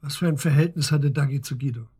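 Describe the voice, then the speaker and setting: An actor playing a sober middle-aged police investigator in a naturalistic radio drama, matter-of-fact and probing with terse questions. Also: male voice, a little high, fast talker, mellow, slightly rough. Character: calm, sober male police investigator and family friend.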